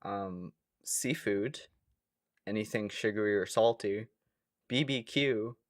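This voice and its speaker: A man's voice: on the low side, takes it slowly, no echo, studio quality. A man co-hosting a podcast in casual comedic banter, rambling along playfully. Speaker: podcast co-host.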